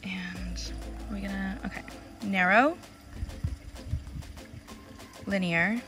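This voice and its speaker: A woman's voice: mid-range voice, extremely slow, pushed and bright, soft background music. A young woman travel vlogger, chatty and enthusiastic, talking into an action camera held at arm's length outdoors. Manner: chatty and enthusiastic, gushing about gear. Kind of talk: casual vlog narration. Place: outdoors in tropical jungle and at a pool.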